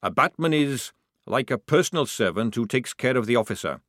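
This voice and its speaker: A man voicing a gruff, sardonic old army sergeant in an audiobook, with a barking military manner. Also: medium pitch, animated, brisk, fairly bright tone, no room sound, studio quality, pitched above the performer's own voice, natural voice. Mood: mirthless. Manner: barking, sarcastic military speech.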